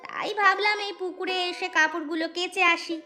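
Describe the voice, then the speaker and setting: Woman voicing a high, bright village housewife. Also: steady tone, brisk, soft background music, heavily acted. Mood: curious, grumbling. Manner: teasing, chiding, colloquial. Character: gossipy, sharp-tongued village housewife, curious and scheming. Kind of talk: cartoon folk-tale voice acting.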